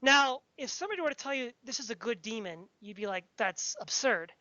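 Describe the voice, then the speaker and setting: A woman's voice: a little high, expressive, a little fast, pushed and bright, no room sound, lo-fi sound. A woman speaking in an opinionated, casual discussion, insistent and pedantic in the way she makes her points. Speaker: podcast speaker discussing fantasy.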